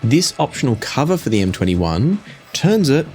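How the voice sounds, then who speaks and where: A man in his forties giving a measured, conversational product review to camera, explaining things as he goes. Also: medium pitch, very animated, a little mellow, soft background music. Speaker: audio gear reviewer. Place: small indoor home studio room.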